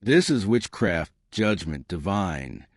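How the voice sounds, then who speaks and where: A man's voice: low-pitched, big pitch swings, takes it slowly, no room sound, studio quality, full character voice. A man voicing a shaken, indignant reverend in an audiobook, solemn and hollow. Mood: hollow dread. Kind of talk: audiobook character dialogue.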